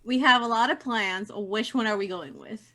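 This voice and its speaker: A woman at a tabletop game, joking and teasing the others in a crisp, bright voice that sits a little high. Her talk is loose and casual. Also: moderate pace. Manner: playful, teasing.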